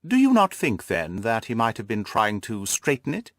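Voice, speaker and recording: A composed storybook detective voiced by a man, incisive and analytical. He questions precisely and emphatically, with pitch that rises and falls a great deal. The recording is close and dry. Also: wildly swooping pitch, moderate pace, fairly bright tone, no room sound, full character voice. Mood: probing. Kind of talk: audiobook character acting.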